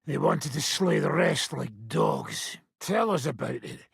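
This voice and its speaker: An actor playing a brash, foul-mouthed medieval mercenary commander in a video game, with a rough, raspy voice and a coarse, sardonic swagger. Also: male voice, a little high, huge pitch swings, slow pace, no echo, studio quality, exaggerated voice. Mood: mocking, joking. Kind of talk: video game character acting.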